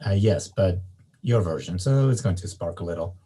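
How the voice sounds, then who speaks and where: An actor at a table read playing a shy, gentle young student in a hesitant, understated way. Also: male voice, low voice, lively intonation, moderate pace, slightly rough. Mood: calm, mysterious. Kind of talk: screenplay table read, naturalistic dialogue.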